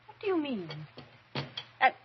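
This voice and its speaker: An actress playing a frightened, pleading woman, halting with dread, in an old-time radio horror drama. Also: high voice, sing-song, a little hiss or hum. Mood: uneasy, afraid. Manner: hesitant, halting, breathless dread. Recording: dull vintage radio recording.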